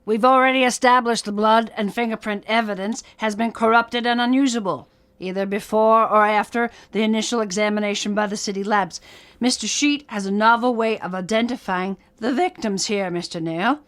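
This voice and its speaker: An actress playing a stern, short-tempered judge in an audio drama, curt and authoritative. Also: female voice, mid-range voice, steady tone, moderate pace, a bit bright, close to an everyday voice.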